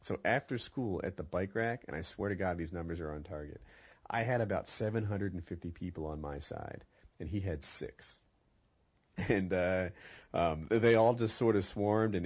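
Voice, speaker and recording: A man's voice: sits low, darkish tone, dry recording. A man hosting a podcast, chatting casually and telling rambling, conversational stories. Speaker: podcast host. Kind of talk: casual chat and storytelling.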